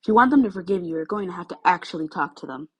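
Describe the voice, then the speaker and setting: Earnest, nervous teen boy in a storybook, husky, emphatic female reader acting him. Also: lowish voice, expressive, rapid-fire. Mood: insistent. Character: earnest, anxious, forgiving teenage boy student.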